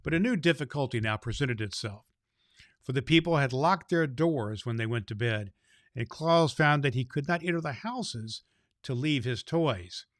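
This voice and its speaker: A man's voice: mid-range voice, expressive, normal speed, no room sound, clean studio sound. A man narrating a children's storybook as a storyteller.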